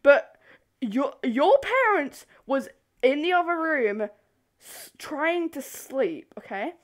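A young woman telling a story casually to camera in an animated, rambling, giggly way, easily sidetracked. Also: high voice, takes it slowly. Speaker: video host. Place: bedroom.

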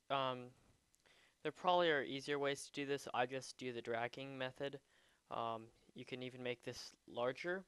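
A man giving a casual, unscripted software tutorial, a bit hesitant as he thinks aloud while demonstrating. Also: medium pitch, clear, resonant tone, no echo. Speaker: tutorial presenter.

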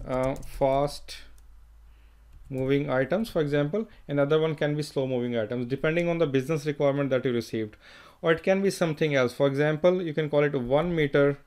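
A man talking through a screen-recorded software tutorial, thinking aloud as he works, with fillers and restarts. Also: fairly high pitch. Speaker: software trainer.

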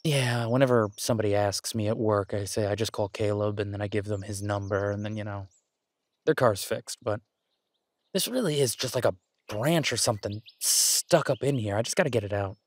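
A man's voice: lowish voice, brisk, no room sound, close to an everyday voice. A man playing a caring, easygoing boyfriend in an intimate audio roleplay, talking in a hesitant, reassuring, conversational way. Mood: casual, easygoing. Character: caring, easygoing human boyfriend of a werewolf woman.

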